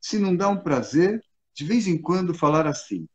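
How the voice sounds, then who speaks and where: A man's voice: sits high, animated, normal speed, breathy edge. A man with a Brazilian Portuguese accent hosting the interview, affirming his guest with frequent "né?" and sometimes reciting short rhyming verses. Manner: warm affirming, ends phrases with "né?", recites rhymes. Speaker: interviewer and storyteller. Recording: slightly dull, lo-fi sound.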